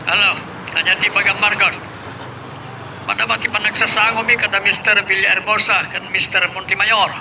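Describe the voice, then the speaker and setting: High-voiced scheming Filipino boss. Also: male voice, little pitch movement, moderate pace, vocal fry. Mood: evasive, businesslike. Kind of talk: Filipino radio drama acting. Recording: vehicle and street noise behind.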